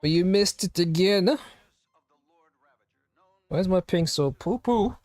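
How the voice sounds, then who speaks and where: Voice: medium pitch, animated, slow pace, a little mellow, no echo, soft background music. A live streamer chatting casually to viewers, rambling and firing off questions to chat. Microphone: home streaming microphone.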